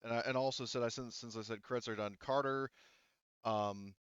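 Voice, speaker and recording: A man's voice: medium pitch, steady tone, normal speed, smooth, dry recording, muffled recording. A man casually narrating a tabletop roleplaying game as game master, rambling and often rephrasing himself mid-sentence.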